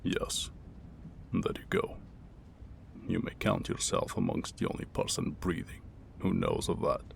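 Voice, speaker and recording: Guy doing a wounded sellsword for asmr rp, super deep gravelly murmur, slow and broody, kinda wry, rain n thunder in the back. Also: flat delivery, full character voice. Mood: guarded, secretive. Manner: slow, halting, brooding, old-fashioned formal phrasing. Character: wounded male mercenary warrior, guarded, wry, secretly tender. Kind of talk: ASMR roleplay acting, intimate second-person monologue. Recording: rain and thunder ambience noise.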